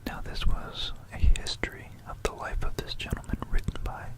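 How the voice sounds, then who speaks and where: A person whispering an informal, musing history talk for ASMR, correcting themselves along the way, close to a stereo microphone. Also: moderate pace. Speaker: ASMR video creator. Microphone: close stereo binaural microphone.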